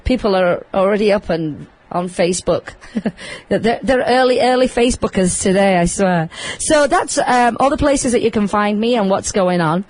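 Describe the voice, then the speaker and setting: A middle-aged woman hosting a pet talk show, loud and chatty, laughing often. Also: medium pitch, normal speed, poor audio. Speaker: podcast host. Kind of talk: casual chat.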